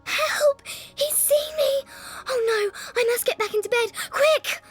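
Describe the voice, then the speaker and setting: A very high, childlike British girl's voice, breathy and full of exclamations. She is a curious, easily frightened little orphan in an audiobook drama, with music behind her. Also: slow. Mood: terrified.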